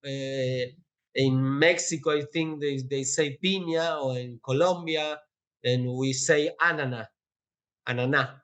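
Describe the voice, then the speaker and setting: A man with an Argentinian accent and native Spanish, chatting as a guest and explaining things haltingly as he searches for words. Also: medium pitch, fairly even intonation, a bit bright. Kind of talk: casual explanatory chat.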